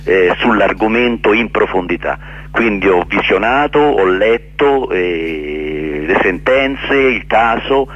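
A man answering radio interview questions earnestly and haltingly, repeating words for emphasis. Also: on the low side, expressive, lo-fi sound. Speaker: interview guest, actor and director. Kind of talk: radio interview answers, explaining his play.

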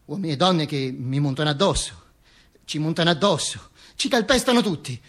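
A man's voice: fairly high pitch, animated, brisk, a bit bright. A man performing a dramatic radio monologue in a declamatory way, with emphatic, repeated phrases. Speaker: stage actor performing a monologue.

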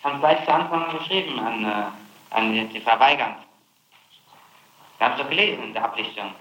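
A man questioning someone as a prosecutor, halting and often seeking agreement with tag questions. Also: mid-range voice, a bit bright. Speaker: public prosecutor. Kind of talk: spontaneous questioning. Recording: noisy echoey 1960s archive tape.

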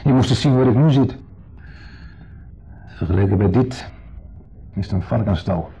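An actor playing a wistful, provocative ex-husband visiting as a guest in a 1970s radio drama, with a wry, probing manner. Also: male voice, lowish voice, moderate pace, creaky, mellow, husky, heavily acted. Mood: wistful, bitter. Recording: muffled 1970s radio recording.

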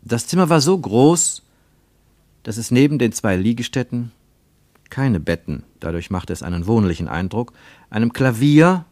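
A man reading scripted literary narration for a radio play in a measured, deliberate way with emphatic phrasing. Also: mid-range voice, a little mellow, dry recording.

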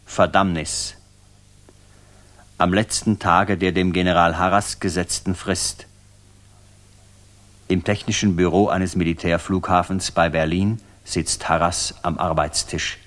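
Slow, low-voiced male radio announcer. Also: fairly even intonation, a bit bright. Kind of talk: formal scripted 1960s radio narration.

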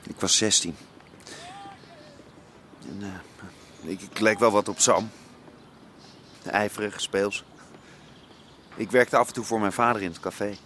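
An actor playing the son of a criminal pub owner in a naturalistic radio drama, talking in a hesitant, reflective way. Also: male voice, lowish voice, fairly even intonation, measured pace, pauses often, fairly bright tone, slight background noise. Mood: wistful, confiding.

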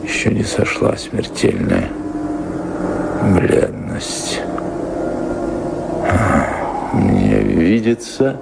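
An actor playing a weary, bored lord of a manor, languid and musing, in an audio drama. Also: male voice, fairly low pitch, wildly swooping pitch, drawn-out words, creaky, exaggerated voice. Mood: solemn, brooding. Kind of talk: theatrical audio drama acting. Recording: very noisy old radio play recording.

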